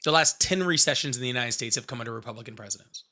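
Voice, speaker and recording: A man's voice: medium pitch, lively intonation, very fast, pushed and bright. A man in his thirties hosting a live call-in stream, blunt and joking as he challenges callers. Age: 30s. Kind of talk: casual live call-in chat.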